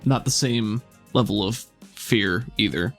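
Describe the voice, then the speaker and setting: A man running a tabletop role-playing game as game master, narrating scenes in a relaxed, descriptive way. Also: on the low side, unhurried, creaky, faint music behind.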